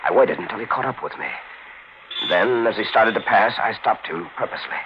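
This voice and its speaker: A man's voice: on the low side, a little fast, noisy background, natural voice. An actor playing a bewildered, desperate man telling his own nightmare with intense 1940s radio mystery drama. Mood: haunted, grim. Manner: intense, dramatic first-person delivery. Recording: dull vintage 1940s radio recording, music behind.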